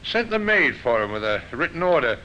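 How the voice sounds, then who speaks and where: Blunt, bright-voiced police sergeant. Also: male voice, medium pitch, sing-song, fairly quick. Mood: deferential, factual. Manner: blunt, clipped. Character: plain-spoken, dogged police sergeant. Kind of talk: 1950s radio drama acting. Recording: dull, noisy old radio recording.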